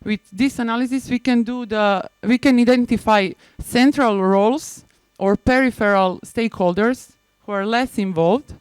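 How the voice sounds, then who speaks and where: A woman with a Serbian accent giving a prepared conference presentation in a hesitant, halting manner, often restarting phrases. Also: on the high side, a little mellow, smooth, no echo. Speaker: conference presenter, maritime spatial planner.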